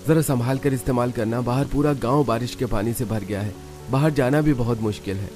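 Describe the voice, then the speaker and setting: A man's voice: mid-range voice, a little fast, a little mellow, faint music behind, natural voice. A man voicing a worried, caring husband and father from a poor village in a cartoon. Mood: careful.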